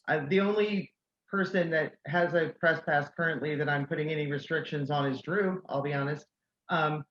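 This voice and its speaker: A woman's voice: low-pitched, a bit bright, reverberant, highs cut off, slight background noise. A woman who organizes a convention, chatting casually and telling a self-deprecating story. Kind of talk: casual video call chat.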